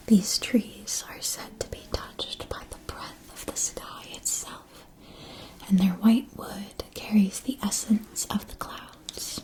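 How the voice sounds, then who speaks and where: A gentle, attentive keeper of a magic wand shop, played by a woman in an ASMR fantasy roleplay. She speaks in a soft whisper and takes her time over each phrase. Her words are carefully formed and soothing. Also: mid-range voice, natural voice, poor audio. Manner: slow, soothing, careful enunciation. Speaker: ASMR performer.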